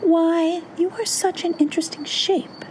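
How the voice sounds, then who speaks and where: A woman voicing a chatty, kindly storybook crow, with squawking caws and gentle, reassuring talk. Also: very high voice, fairly even intonation, measured pace, a little hiss or hum, voice pushed up. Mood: squawking, curious.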